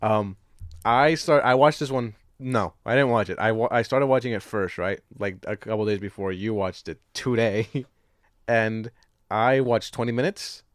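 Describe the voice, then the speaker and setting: Guy on a podcast, lower voice, no room sound at all, rambly jokey sweary movie talk. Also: moderate pace, dry recording, clean studio sound. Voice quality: slangy, profane. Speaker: podcast co-host. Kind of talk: casual film chat.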